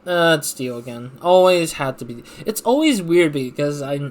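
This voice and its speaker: A man hosting a let's play, reading game text aloud and commenting casually as he thinks out loud. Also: a little high, animated, normal speed.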